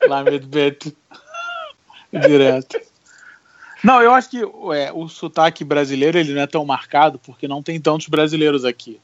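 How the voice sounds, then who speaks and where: A man's voice: a little high, normal speed, dry recording. Man with a Carioca accent, dry muffled sound. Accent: Carioca Portuguese. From Rio de Janeiro, Brazil. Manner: lively, animated storytelling with laughs. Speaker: podcast co-host. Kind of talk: casual chat. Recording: dry, slightly dull audio.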